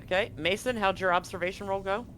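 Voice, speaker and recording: Higher-voiced man narrating as game master. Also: steady tone, quick pace, pushed and bright. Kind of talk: improvised narration of a tabletop roleplaying game. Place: live-streamed game session.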